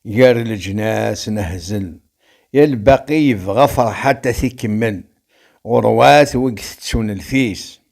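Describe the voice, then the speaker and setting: Slow, gravelly Kabyle man reciting poetry. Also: fairly low pitch, darkish tone. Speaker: poet. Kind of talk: poetry recitation in rhymed verse.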